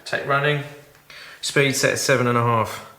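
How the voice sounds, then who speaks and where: An actor playing a technician on a research team in a radio drama. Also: male voice, medium pitch, fairly even intonation, moderate pace, pushed and bright, slight background noise, lightly acted. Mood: businesslike. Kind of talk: naturalistic radio drama acting.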